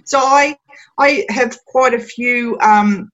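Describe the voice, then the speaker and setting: An Australian woman chatting as a podcast guest, laughing often and filling pauses with ums. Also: fairly high pitch, fairly bright tone, lo-fi sound. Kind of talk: casual podcast interview.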